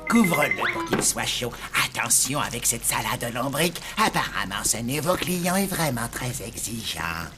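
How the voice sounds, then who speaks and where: A bossy zombie mother who gives brisk orders in the kitchen, with a very low voice that swings widely in pitch. Cartoon music plays behind her. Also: big pitch swings. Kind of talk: French cartoon dub.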